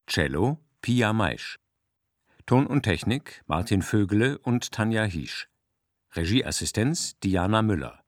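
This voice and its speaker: A man reading out the closing credits of a radio play in a formal, even way. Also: fairly low pitch, slow, no room sound, clean studio sound. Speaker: credits announcer. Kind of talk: scripted announcement.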